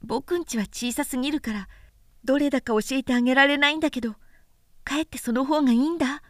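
Storybook boy prince, played by a woman: small, gentle, wistful; high, soft childlike delivery, very dry sound. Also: fast, no echo, close to an everyday voice. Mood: tender, earnest. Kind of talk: storybook character voice in a literary recitation.

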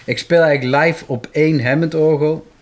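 A man's voice: a little high, steady tone, a little fast. A man, a musician, chatting casually as an interview guest.

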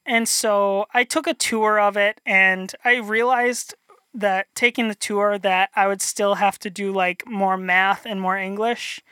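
A young man from North Dakota with a high voice, chatting as an interview guest, rambling and self-deprecating with many restarts. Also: steady tone, unhurried, sharp tone, clean tone, no echo. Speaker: illustrator guest. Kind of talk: casual interview chat.

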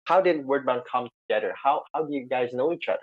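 A man hosts a podcast over a remote call, talking excitedly with lots of ums and restarts. His voice sits at a middle pitch. The sound is a bit muffled and lo-fi. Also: fast. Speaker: podcast host. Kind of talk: casual chat. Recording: slightly dull lo-fi sound.

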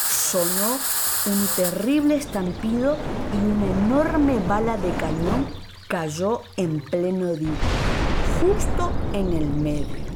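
A woman storyteller narrating for children in an expressive, dramatic way, with deliberate, emphatic phrasing. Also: medium pitch. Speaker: actress, storyteller. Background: music and water sound effects.

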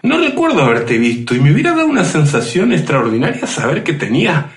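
A man's voice: a little high, sing-song, quick pace. A man with a Uruguayan accent interviewing a younger guest on the radio, talking in a joking, nostalgic way. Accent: Uruguayan Spanish. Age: older than guest. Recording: roomy radio sound.

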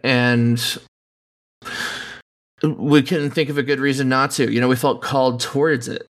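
A man as a podcast guest, talking slowly and calmly in casual conversation, with many fillers. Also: medium pitch. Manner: slow, calm, with many you-knows.

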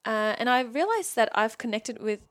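Guest: woman, 20s, German accent, higher voice, giggly and rambling. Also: lively intonation, normal speed, a bit bright. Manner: bubbly, laughs often, rambling. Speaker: guest, mindset coach. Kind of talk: casual podcast interview.

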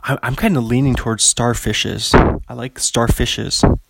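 A man's voice: medium pitch, steady tone, unhurried, vocal fry, heavy background noise. Rambling, chuckling male podcast host. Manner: rambling, chuckling, heavy use of "like". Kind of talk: casual solo podcast monologue.

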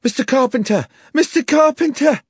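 A man voicing a distraught young village woman in a shrill, panicky manner. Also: lively intonation, normal speed, husky, no room sound, clean studio sound, full character voice. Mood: panicked.

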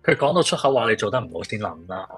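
A man's voice: lowish voice, big pitch swings, quick pace, husky, faint music behind. A man playing a blunt, teasing, easygoing old friend in a radio drama, with a Hong Kong Cantonese accent. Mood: blunt advice.

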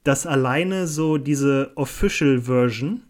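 A man's voice: medium pitch, takes it slowly. A young man chats casually as a podcast co-host, rambling and joking in colloquial slang. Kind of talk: casual unscripted podcast chat. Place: small hotel room.

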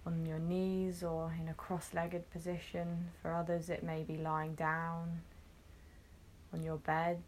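A woman guiding a meditation, gently instructing in short phrases with long, unhurried pauses. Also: on the low side, slight background noise. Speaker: meditation guide. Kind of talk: guided meditation, soothing instruction.

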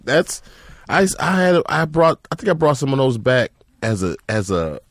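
A man hosting a casual comedy podcast, animated and joking, swearing freely. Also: mid-range voice, moderate pace, dry recording, clean studio sound. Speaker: podcast host.

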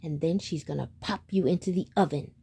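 A woman voicing a spiteful barnyard hen in a storybook, talking in a shrill, threatening way. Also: brisk, vocal fry, warm tone, husky, full character voice.

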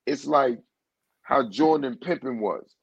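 A man in a casual interview rambles through a story, circling back and restarting his sentences as he goes. His voice sits at a middling pitch. It comes through a muffled, dry recording with little sense of a room. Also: measured pace, creaky, husky, no room sound. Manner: rambling storyteller with frequent "you know what I'm saying". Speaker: guest singer.

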